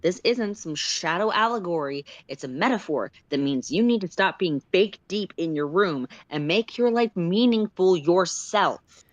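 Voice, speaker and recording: A woman's voice: fairly low pitch, animated, unhurried, few pauses, no room sound, highs cut off. A woman playing an exasperated, sweary sylph philosopher who lectures and argues sarcastically in an amateur story reading. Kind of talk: amateur audio fiction read aloud live.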